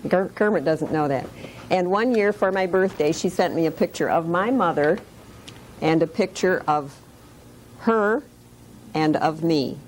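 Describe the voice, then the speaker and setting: Low-voiced woman in her sixties. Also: slight background noise, low-quality recording. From Detroit, Michigan. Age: 60s. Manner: unhurried, wry and plainspoken. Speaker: interviewee sharing memories of the Depression. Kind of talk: oral history interview. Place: school classroom.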